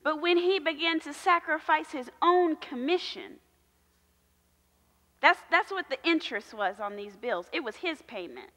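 High bright-voiced woman pastor preaching. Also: sing-song. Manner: preaching with slow emphatic repetition, gesturing while walking. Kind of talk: sermon. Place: large church sanctuary. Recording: long church hall reverb.

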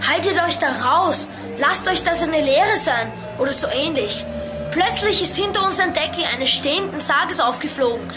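Very high-voiced excitable child. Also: female voice, moderate pace, over music. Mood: shaken. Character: excitable, dramatic child detective. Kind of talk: children's radio play acting.

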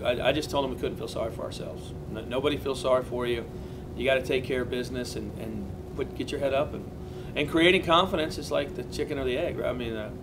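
Brisk male coach, plain talk with restarts, mid-pitched voice over press room hum. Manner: plain-spoken, many restarts and fillers. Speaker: college baseball head coach. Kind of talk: postgame press conference answers. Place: press conference room in front of a backdrop. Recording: noisy press room with rumble.

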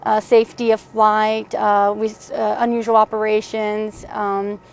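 A woman's voice: mid-range voice, measured pace, vocal fry. An American woman, a chief air traffic controller, speaking a little hesitantly in an interview. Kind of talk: interview talk. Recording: faint steady background noise.

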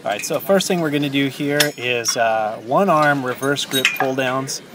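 A man's voice: medium pitch, normal speed, heavy background noise. A man in his thirties talking casually about his gym workout, with plenty of ums and uhs. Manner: relaxed, many ums and uhs. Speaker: fitness vlogger.

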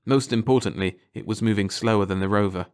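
A man's voice: on the low side, fast talker, darkish tone. A man reading a scripted story as an audiobook narrator.

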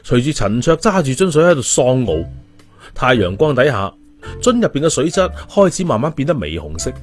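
Male narrator, expressive storytelling, animated pitch, soft background music. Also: a little fast. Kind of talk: expressive audiobook narration with character voices.

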